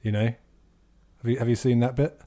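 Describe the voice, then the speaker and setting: A man playing a casual, awkwardly friendly monster hunter who talks in a blunt, halting, matey way. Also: medium pitch, flat delivery, warm tone, natural voice. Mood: matey, flustered.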